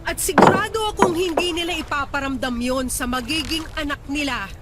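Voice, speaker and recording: Actress as high-voiced wounded, unforgiving wife. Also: female voice, brisk, heavy background noise, lightly acted. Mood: stern, bitter. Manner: emotional, reproachful delivery. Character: hurt, unforgiving betrayed wife and mother. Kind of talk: Filipino radio drama acting. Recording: loud music under the voice.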